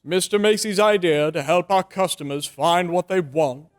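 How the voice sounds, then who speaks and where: A man playing a flustered, excitable department store manager in old-time radio drama style, stammering and exclaiming. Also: high voice, moderate pace, close to an everyday voice. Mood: coaxing.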